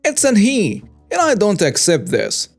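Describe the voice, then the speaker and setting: A man's voice: sits high, huge pitch swings, a little slow, creaky, faint music behind, pitched above the performer's own voice, full character voice. A man voicing a pushy, entitled mother who keeps demanding and threatening. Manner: insistent, demanding.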